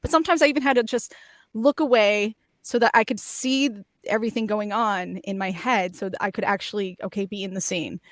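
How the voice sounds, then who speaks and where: Female panelist, medium pitch, casual conversational delivery, dry home-booth recording. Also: fairly even intonation, brisk, no echo. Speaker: voice actor.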